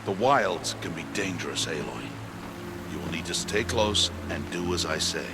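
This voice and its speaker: An actor playing a stern, middle-aged outcast hunter who guides a child with terse commands in a video game cutscene. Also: male voice, low voice, moderate pace, natural voice. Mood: firm, instructive. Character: stern gruff middle-aged male outcast hunter, protective mentor to a girl. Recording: loud music under the voice.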